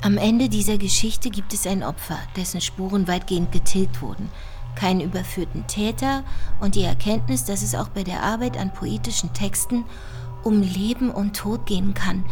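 A woman's voice: mid-range voice, normal speed, darkish tone, soft background music, natural voice. An actress playing a composed, analytical female investigator who explains her findings in a German radio play. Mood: composed, explanatory. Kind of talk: German radio play acting, scripted narration.

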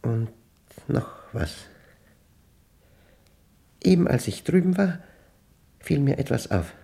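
An actor playing a lonely, orderly male mathematics professor in a German radio play monologue, speaking standard German in a reflective, pedantic, halting and self-questioning manner. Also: mid-range voice, sing-song, vocal fry, dark tone, exaggerated voice. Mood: uneasy, precise.

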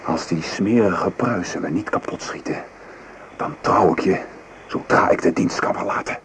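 A man's voice: deep voice, moderate pace, vocal fry, fairly bright tone, a little hiss or hum. A man acting an ardent, earnest soldier in love in a Dutch radio play.

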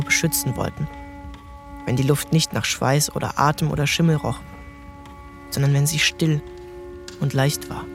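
An actor playing a grown son recalling his wild boyhood, narrating a literary radio play in measured, incantatory short phrases. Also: a little high. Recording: music behind the voice.

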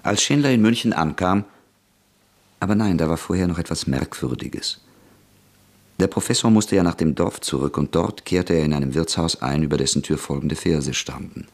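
A man narrating a radio play in a measured storytelling way. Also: extremely low pitch, creaky.

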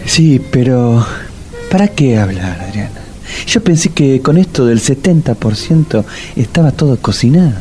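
A laid-back, blunt male suitor in a radio comedy, acted by a man. His voice is warm and dark with swinging intonation, over light music. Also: mid-range voice, expressive, normal speed, natural voice. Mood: mellow, blunt. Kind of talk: comic radio drama acting. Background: music behind the voice.

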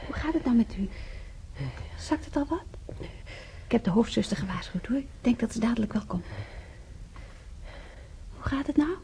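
An actress playing a young, solicitous hospital nurse with a soft, caring manner in a radio drama, with some noise in the recording. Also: female voice, on the high side, animated, moderate pace, gravelly, long pauses. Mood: gentle concern.

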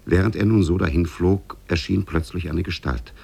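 Male narrator, extremely low pitch, creaky, measured formal storytelling, 1950s German radio drama. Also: warm tone.

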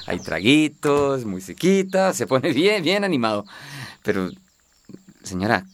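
An actor playing an obliging, folksy innkeeper in a radio drama, chatty and persuasive. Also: male voice, medium pitch, big pitch swings, measured pace, no room sound. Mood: chatty, salesy. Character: folksy, obliging male innkeeper in a border town.

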